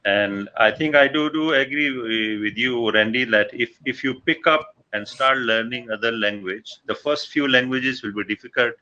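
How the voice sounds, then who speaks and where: A man's voice: medium pitch, normal speed, few pauses, bright, forceful tone, dull audio. A man from Pakistan with a Pakistani accent, chatting casually on a livestream and speaking hesitantly with many fillers. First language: Punjabi. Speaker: livestream chat participant. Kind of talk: casual chat.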